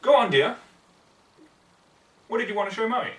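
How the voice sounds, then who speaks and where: A man voicing a gentle, doting mother pony, sweetly coaxing a child in a storybook reading. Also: high-pitched, animated, normal speed, pitched above the performer's own voice. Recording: roomy, distant mic, background noise.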